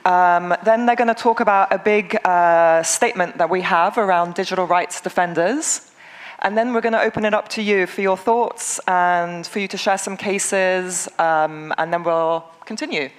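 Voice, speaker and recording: A woman from England, moderating a conference panel in a brisk, friendly way with frequent ums. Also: on the low side. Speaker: session moderator.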